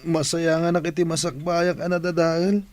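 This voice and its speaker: Guy doing a sorry ilocano prisoner begging forgiveness, kinda high mellow voice, radio play. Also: level tone, normal speed, lightly acted. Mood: remorseful. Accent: Filipino, Ilocano. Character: remorseful male prisoner pleading for forgiveness. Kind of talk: Ilocano radio drama acting.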